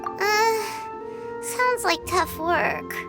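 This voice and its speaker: A woman voicing a tiny, curious, childlike fairy sidekick in a video game, with a squeaky, cute and expressive voice. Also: extremely slow, music in the background, exaggerated voice. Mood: puzzled. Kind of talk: scripted video game voice acting.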